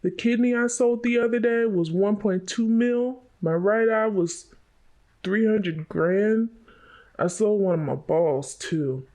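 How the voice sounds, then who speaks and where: A man acts out a penniless teenage devil hunter from an animated motion comic. He speaks slowly in a fairly high, fry-laden voice. He sounds worn down and blunt, resigned to his lot, with music underneath. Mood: deadpan tallying. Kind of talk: fan motion comic voice acting.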